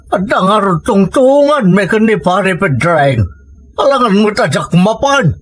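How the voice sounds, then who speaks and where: A woman's voice: mid-range voice, big pitch swings, unhurried. A woman with a Filipino accent playing a grumbling old village father in an Ilocano audio comedy drama.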